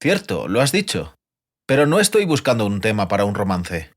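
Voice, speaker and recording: An actor playing a curious, witty bard with a theatrical, inquisitive manner in a fantasy audiobook. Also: male voice, on the low side, sing-song, moderate pace. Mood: playful, probing. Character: curious male bard poet, uneasy but witty. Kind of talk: dramatized fantasy audiobook dialogue.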